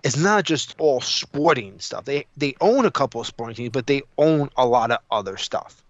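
A man's voice: medium pitch, moderate pace, no room sound, muffled recording. A man co-hosting a podcast, chatting casually about his opinions in a blunt, emphatic, profane way. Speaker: podcast co-host.

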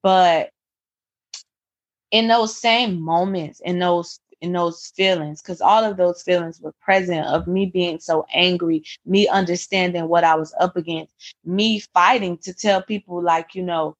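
Woman, young adult, slightly low-pitched, interview guest, earnest, reflective storytelling, frequent restarts. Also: steady tone, measured pace. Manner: earnest, reflective, many restarts and "you know". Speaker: author guest. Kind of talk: personal storytelling in a podcast interview.

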